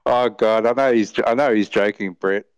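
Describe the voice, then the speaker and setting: A man chatting as a guest in a halting, repetitive, rambling way, over dull lo-fi audio. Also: on the low side, smooth, no room sound. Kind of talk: casual chat, anecdote telling.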